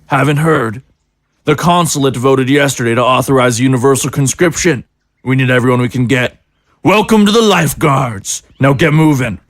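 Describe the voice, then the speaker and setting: An actor playing a condescending military captain in an audio drama, clipped and authoritative. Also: male voice, mid-range voice, moderate pace, no room sound, clean studio sound, lightly acted. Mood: commanding.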